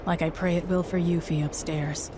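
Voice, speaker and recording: An actress playing a shrewd, unapologetic town fixer in a video game, speaking matter-of-factly in clipped phrases. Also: female voice, fairly low pitch, little pitch movement, moderate pace, background noise, natural voice. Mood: calm, frank. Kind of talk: video game cutscene acting.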